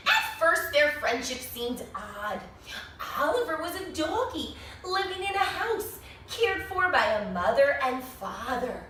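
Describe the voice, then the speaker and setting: A woman reading a picture book to young children in an expressive, sing-song way, pausing to explain things and ask questions. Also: high-pitched. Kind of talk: animated read-aloud story for young children. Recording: very echoey room, distant mic, faint noise.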